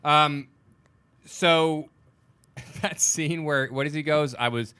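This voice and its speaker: A man co-hosting a podcast, giving opinions in casual, animated movie chat. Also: on the high side, takes it slowly, clear, resonant tone, no echo. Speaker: podcast co-host.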